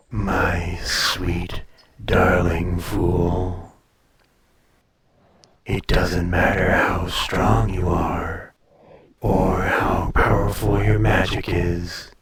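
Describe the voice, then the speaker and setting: Ancient dragon played by a man for audio roleplay: low, level delivery, haughty and teasing, condescending to mortals, lingering on phrases. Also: fairly bright tone, exaggerated voice. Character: ancient, proud male dragon in humanlike form, condescending, teasing. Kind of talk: audio roleplay acting, intimate monologue addressed to listener.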